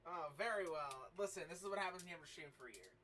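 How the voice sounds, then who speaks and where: A sweary, excitable man hosting a casual livestream and chatting with his guests. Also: on the high side, rapid-fire. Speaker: livestream host and amateur voice actor. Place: online group voice call. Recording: noisy, distant mic.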